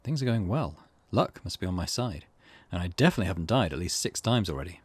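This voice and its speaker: Man playing a scheming, gloating man, animated pitch. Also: quick pace, slightly rough, no echo. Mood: gloating relief. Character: scheming, self-satisfied man wielding a deadly curse. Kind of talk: visual novel dialogue read aloud.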